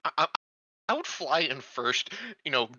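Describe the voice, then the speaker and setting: A man plays a tabletop game in a sharp, very bright voice that moves around freely in pitch. He takes his time, stammering and repeating words in casual, jokey chat. The recording is close, dry and a little muffled. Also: very animated, normal speed, no echo.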